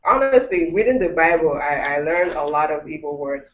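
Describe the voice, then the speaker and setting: Teacher with a high voice in an echoey room. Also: male voice, animated, a little fast. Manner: explains step by step with many restarts. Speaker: language teacher. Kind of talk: language class. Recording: echoey room, tinny phone-like sound, mic far off.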